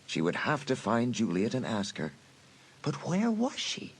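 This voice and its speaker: A man narrating a dramatised audiobook in an expressive storytelling manner. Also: mid-range voice, unhurried, breathy edge.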